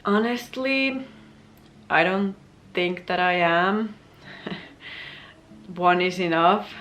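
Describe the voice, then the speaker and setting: Soft-spoken Finnish woman in her twenties. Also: fairly low pitch, extremely slow. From Finland. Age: 20s. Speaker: vlogger. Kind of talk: casual Q&A chat. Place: home room with plants, indoors.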